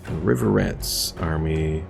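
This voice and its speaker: A man's voice: extremely low pitch, takes it slowly, gravelly. A man streaming a strategy game, chatting loosely and answering viewer questions in a rambling way full of "like" and "kinda". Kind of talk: casual live-stream commentary reading chat. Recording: game music playing under the voice.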